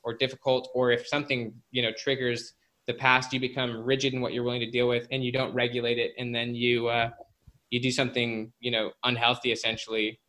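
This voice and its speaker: Male, bright forceful voice, mid pitch, interview guest, informal explainer, filler-heavy anecdotes. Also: level tone, brisk. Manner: informal, filler-heavy with frequent "like" and "you know". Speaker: guest psychologist and author.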